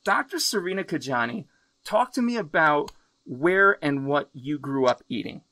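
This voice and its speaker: This is an exuberant man from the United States hosting a podcast, his voice bright and sharp and sitting a little high. He chats casually and swears freely. The sound is dry, as over a remote call. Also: animated, moderate pace, no room sound. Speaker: podcast host. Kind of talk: casual interview chat. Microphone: remote video call.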